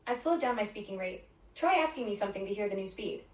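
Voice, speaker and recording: A woman's voice: mid-range voice, fast talker. Synthetic woman assistant voice, echoey. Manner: polite, even, scripted phrasing. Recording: echoey room from a smart speaker.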